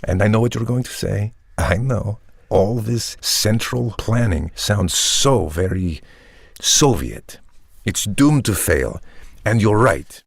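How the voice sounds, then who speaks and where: An actor playing a charismatic, ambitious vampire tech entrepreneur, delivering a measured, pompous and persuasive monologue like a polished pitch. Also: male voice, lively intonation, gravelly, husky, no echo, clean studio sound, heavily acted. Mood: visionary, persuasive.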